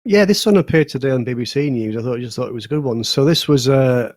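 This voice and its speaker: A man's voice: mid-range voice, animated, quick pace, a little mellow, no echo. A British man on a podcast panel talking through news in a casual, rambling, explanatory way.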